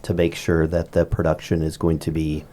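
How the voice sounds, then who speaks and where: A man's voice: a bass voice, completely flat delivery, moderate pace, dark tone, a little hiss or hum. A man hosting a school podcast, asking casual, joking interview questions with plenty of fillers. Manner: friendly, jokey questions with fillers.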